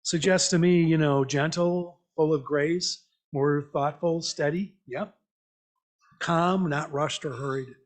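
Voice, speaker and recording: A man with a slightly high voice speaks as a lay preacher. He is reflective and conversational, inviting the congregation to think along with questions and short comments. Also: fairly even intonation, slow pace. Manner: conversational, reflective, repeats listeners' answers back. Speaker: lay preacher giving an interactive church message. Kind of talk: interactive sermon, asking the congregation questions. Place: church meeting, speaking to a congregation.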